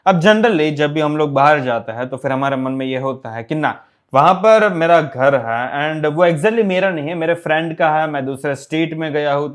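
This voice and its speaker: Young man with a Gujarati accent, vlogger, relaxed rambling storyteller, a bit higher voice. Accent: Gujarati-accented Hindi. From Gujarat, India. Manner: chatty, mixes English words into Hindi, many pauses. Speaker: fitness vlogger. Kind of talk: casual storytelling to camera.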